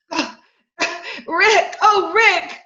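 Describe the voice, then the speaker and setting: Woman doing scared weepy girl in a radio play, super high bright voice, echoey. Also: drawn-out words, full character voice. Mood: frightened, breathless. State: tearful. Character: frightened, hysterical, self-pitying young woman. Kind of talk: old-time radio drama acting. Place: home, remote video call recording. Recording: echoey, dull remote home recording.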